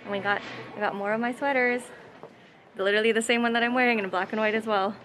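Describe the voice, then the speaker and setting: A young woman talks straight to a handheld camera in a chatty, upbeat way, full of filler words. Her voice sits a little high, and soft music plays quietly underneath. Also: fairly even intonation, fast talker, low-quality recording. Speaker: vlogger. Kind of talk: casual vlog chat. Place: shopping mall and home living room. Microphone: handheld camera close up.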